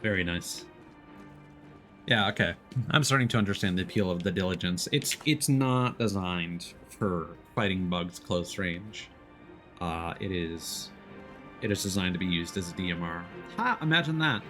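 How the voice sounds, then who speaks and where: Guy streaming a game, kinda low voice, explains stuff as he goes, music behind him. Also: expressive, normal speed, a bit bright. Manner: explanatory, thinking aloud. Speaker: streamer, gamer. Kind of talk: casual live game commentary.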